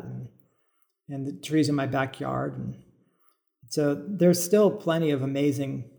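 A man's voice: mid-range voice, gravelly, dark tone. A middle-aged American man giving thoughtful, informal explanations as an interview guest, thinking aloud as he goes. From suburban California, United States. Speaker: podcast guest, biologist turned farmer. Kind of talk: conversational interview answers, explanatory.

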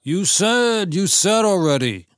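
Seeking a man to act a complacent old immigrant husband, high pitched, Yiddish-American inflection, shrugging off everything, for storybook narration. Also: sing-song, slow pace, clear, resonant tone, no room sound, clean studio sound, heavily acted. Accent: Yiddish-inflected American English. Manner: complacent, dismissive, roundabout. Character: indifferent, grumbling old retired husband.

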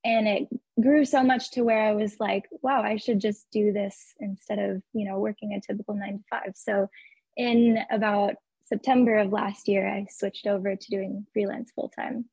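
Husky young woman, friendly and chatty. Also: medium pitch, fairly even intonation, normal speed, darkish tone, no echo, low-quality recording. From Arizona. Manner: friendly, lots of "like" and "um". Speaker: guest, graphic designer. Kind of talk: casual interview.

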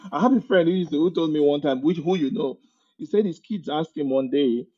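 A man with a Nigerian accent speaks as a podcast guest in a slightly raised, husky voice. He tells his stories with animation and keeps breaking into laughter partway through sentences. The recording sounds dull and lo-fi. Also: on the high side, moderate pace. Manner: animated storyteller, often laughing mid-sentence. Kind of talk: casual interview conversation.

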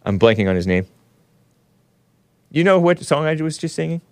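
A man's voice: fairly high pitch, big pitch swings, normal speed, no echo. Nasal man hosting a rambling talk show. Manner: rambling, laughs often, chats with the live chat. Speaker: show host. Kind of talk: casual opinionated livestream talk.

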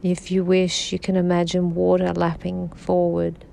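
A woman guiding a sleep meditation in a slow, soft-spoken, soothing manner. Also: lowish voice, monotone, creaky, slight background noise. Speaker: meditation guide.

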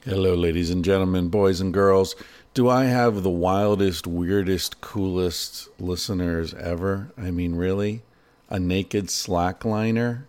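A man's voice: low voice, unhurried. A man hosting his podcast, chatting playfully and joking about listener messages before reading an ad. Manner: playful, joking, animated asides. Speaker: podcast host. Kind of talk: casual solo podcast talk and ad read.

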